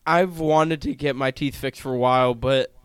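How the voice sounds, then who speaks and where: A twenty-year-old man with a pronounced lisp, chatting casually and swearing freely as a podcast host, in an animated, self-deprecating way. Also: medium pitch, moderate pace, clear, resonant tone, dry recording. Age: 20, turning 21 soon. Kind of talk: casual chat.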